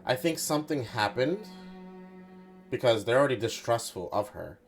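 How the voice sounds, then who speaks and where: A man's voice: medium pitch, lively intonation, moderate pace, soft background music. A man talking casually and informally as a game streamer, swearing and thinking aloud through his theories. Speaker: streamer playing a mystery game.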